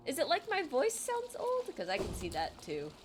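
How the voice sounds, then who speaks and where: A woman's voice: high-pitched, huge pitch swings, normal speed, background noise. Chatty woman streamer, light Filipino accent. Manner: chatty, self-deprecating, laughs often, reads chat aloud. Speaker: game streamer. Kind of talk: casual live-stream chat while gaming. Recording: game music under the voice. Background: game music.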